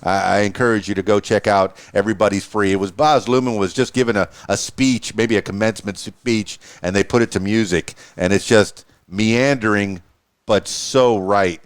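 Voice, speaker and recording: A man's voice: lowish voice, fairly even intonation, normal speed, smooth, dry recording. A man in his fifties hosting a podcast, rambling and stumbling over words as he chats. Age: 50s. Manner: rambling, stammers and repeats words. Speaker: podcast host. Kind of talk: casual interview chat.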